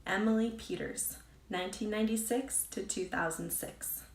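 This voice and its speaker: A woman's voice: mid-range voice, normal speed, creaky. A woman reading a storybook aloud as a scripted narration, as an author reads her own book. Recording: roomy echo, distant from mic.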